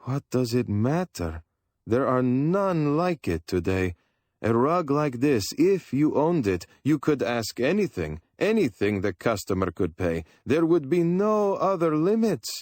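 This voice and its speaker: A man's voice: mid-range voice, sing-song, unhurried, darkish tone, dry recording, studio quality, pitched above the performer's own voice. A man voicing a shrewd middle-aged rug dealer who talks in a wheedling, money-minded way.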